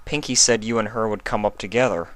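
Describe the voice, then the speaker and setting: A man's voice: fairly low pitch, fast, fairly bright tone, dry recording, voice pushed up, lightly acted. A man voicing an earnest, emotional young male dragon turned pony in an acted fan fiction reading. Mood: subdued, friendly.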